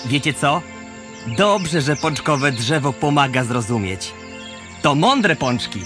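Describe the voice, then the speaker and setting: Man as a slow-talking, thoughtful schoolboy. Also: medium pitch, very animated, music in the background. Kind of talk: children's audio drama acting.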